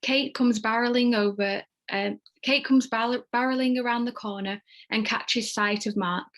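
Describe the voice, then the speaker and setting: A woman's voice: on the high side, steady tone, moderate pace, a bit bright. A woman reading stage directions as scripted narration for a table read.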